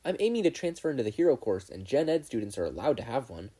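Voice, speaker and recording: A reader voicing a bright, friendly, playful teenage girl student in a fan fiction audiobook. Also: mid-range voice, big pitch swings, quick pace, slightly rough. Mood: cheerful. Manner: upbeat, earnest, playful.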